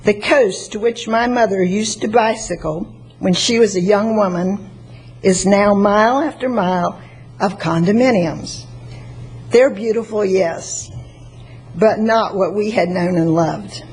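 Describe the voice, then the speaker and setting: Soft-voiced woman in her sixties. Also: mid-range voice, measured pace, slightly rough, rough recording. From Florida, United States. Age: 60s. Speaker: member of the public giving testimony. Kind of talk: prepared speech read at a public hearing. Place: public meeting chamber at a podium microphone. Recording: faint background noise.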